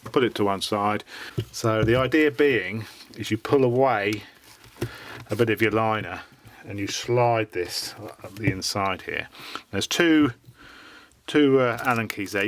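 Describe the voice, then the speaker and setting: British man, lowish voice, casual gear reviewer, informal explanatory delivery, dry close sound. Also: a bit bright, no room sound. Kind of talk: casual hands-on product review.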